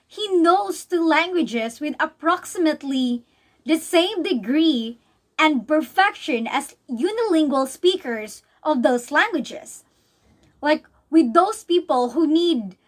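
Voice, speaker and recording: A young woman with a Filipino accent and a high voice talks through a recorded answer for a class. English is not her first language; she grew up speaking Bisaya. She stumbles and restarts often, half reading from notes. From Philippines. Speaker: student. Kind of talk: recorded pre-test answer, half read.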